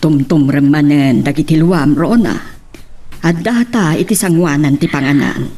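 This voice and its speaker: A woman's voice: deep voice, normal speed, warm tone, slight background noise, natural voice. A woman playing a concerned, nagging mother who scolds and questions in an Ilocano radio drama.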